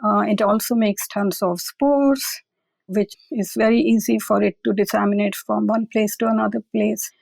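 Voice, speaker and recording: A woman's voice: medium pitch, fairly even intonation, normal speed, gravelly, darkish tone, no room sound, low-quality recording. A woman researcher being interviewed, explaining carefully and often adding "I will say". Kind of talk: conversational expert interview.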